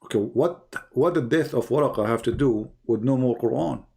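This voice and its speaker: A man's voice: medium pitch, normal speed, creaky, a little mellow. Man arguing in insistent lecture style. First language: non-English. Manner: insistent, didactic, rhetorical questions. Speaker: religious debater on a live call. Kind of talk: polemical live lecture to a caller.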